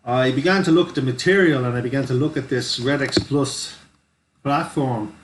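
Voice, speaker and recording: A man's voice: medium pitch, normal speed. A middle-aged man, co-hosting a webinar as a business coach, upbeat and rambling with lots of fillers. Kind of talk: casual sales pitch. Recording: echoey room, faint noise.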